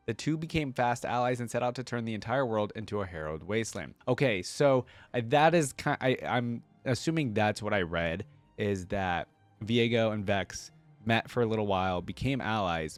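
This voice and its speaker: A man hosting a reaction channel, casually commenting and reading aloud, thinking out loud with informal asides. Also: on the low side, no echo.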